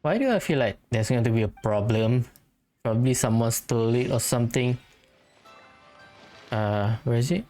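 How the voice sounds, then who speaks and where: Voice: medium pitch, normal speed, pauses often, dark tone, faint music behind. A game player giving casual let's-play commentary, offhand and musing aloud.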